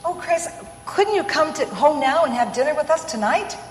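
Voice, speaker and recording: A woman's voice: high-pitched, lively intonation, moderate pace, in a large hall. A woman playing a sensible, caring young mother in a radio play, tender and coaxing in her delivery.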